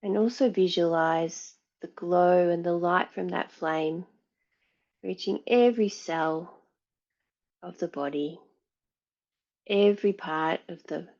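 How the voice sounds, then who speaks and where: A woman teaches yoga in a fairly low voice at a very slow, measured pace. She gives soothing meditation instructions with pauses between phrases. The recording sounds slightly dull and muffled. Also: darkish tone.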